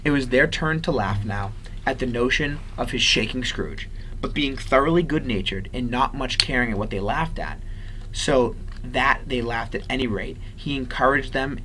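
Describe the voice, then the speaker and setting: A man with a mid-range voice reads aloud as a teacher would. His storybook narration is expressive. The recording carries some background noise. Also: mid-range voice, normal speed, poor audio.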